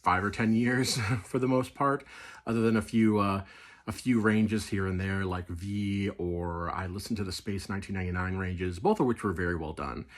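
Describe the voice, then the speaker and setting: A man's voice: low voice, moderate pace. A middle-aged man giving an enthusiastic, conversational, casual review.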